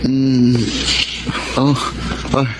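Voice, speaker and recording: A young workaholic in a Filipino radio drama, mid-pitched, stubborn and quick to defend himself. The recording has clear background noise. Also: male voice, completely flat delivery. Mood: groggy, flustered.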